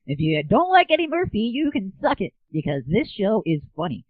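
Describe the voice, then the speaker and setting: A man voicing an excitable, crude midget movie reviewer who whoops with glee in a comedy audio show. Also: sits high, a little fast, no echo, narrow, tinny audio, voice pushed up, exaggerated voice. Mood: gleeful, crude.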